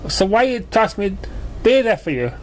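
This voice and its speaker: A brash female cartoon pegasus pony, acted by a man reading a story. The voice is lifted well above his usual pitch and carries the protective, casual manner of a big sister. There is noticeable noise behind it. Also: sing-song, moderate pace. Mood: hurt, protective.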